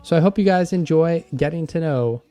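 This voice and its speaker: A man's voice: fairly high pitch, a little fast, loud music behind the voice. A man hosting a podcast, introducing and interviewing guests. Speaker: podcast host.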